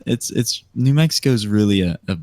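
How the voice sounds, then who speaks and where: A man of nineteen with an American accent, chatting and telling stories as a podcast guest in a hesitant, unhurried way. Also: on the low side, animated, dry recording. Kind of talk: casual storytelling chat. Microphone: remote voice call microphone. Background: soft music.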